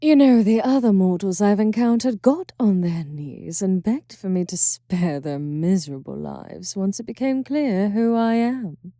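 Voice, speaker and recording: Woman as haughty succubus princess, ASMR, slow, breathy whispers, dry close mic. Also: fairly low pitch, dark tone, no echo. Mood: seductive. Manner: slow, sultry, teasing with frequent whispers. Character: sultry, arrogant female succubus demon princess. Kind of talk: ASMR roleplay.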